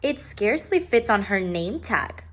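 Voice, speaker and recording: A woman's voice: mid-range voice, sing-song, a little slow, a bit bright. A woman voicing mean, gleeful little schoolgirls with taunting voices for a children's storybook. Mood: scornful.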